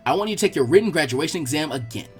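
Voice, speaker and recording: A man's voice: fairly high pitch, animated, rapid-fire, a little mellow, slightly rough, faint music behind. A man voicing an eager, bookish girl archivist in a fan fiction reading, proud, fussy and insistent.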